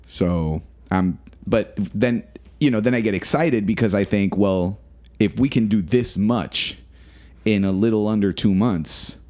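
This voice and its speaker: A man co-hosting a casual podcast, talking earnestly and haltingly with many restarts. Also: fairly low pitch, darkish tone. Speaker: podcast co-host. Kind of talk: casual chat.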